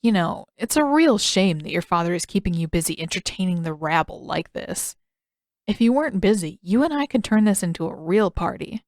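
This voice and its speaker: A woman's voice: fairly low pitch, expressive, a little fast, no echo, studio quality, natural voice. A woman voicing a smug, insinuating teenage boy in an audiobook story.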